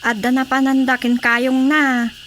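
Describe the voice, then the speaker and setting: Casting: an evasive, deferential village wife, distinctly high pitch, Ilocano accent, radio comedy drama. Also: female voice, little pitch movement, measured pace, fairly bright tone, slight background noise. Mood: evasive, hesitant. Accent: Filipino, Ilocano.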